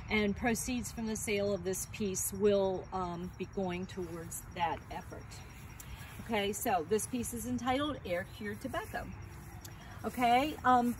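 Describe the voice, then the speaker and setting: A woman in her sixties, an artist and teacher, explaining her work informally in a measured way, outdoors and away from the camera. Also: fairly high pitch. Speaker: artist and art teacher presenting paintings. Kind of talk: informal talk presenting artworks. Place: outdoors by a weathered wooden wall. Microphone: camera a few metres away. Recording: distant mic, noisy outdoor ambience.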